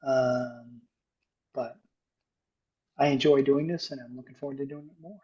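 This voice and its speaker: A man's voice: mid-range voice, steady tone, unhurried, dark tone. A man casually introducing himself as a volunteer on a group video call. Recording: dull video-call audio.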